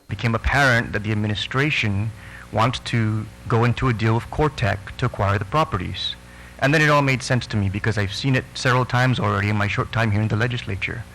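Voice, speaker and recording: A man with a slightly low voice addresses a public hearing as a politician. A faint noise and hum sit behind his words. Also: quick pace, fairly bright tone, poor audio. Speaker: senator.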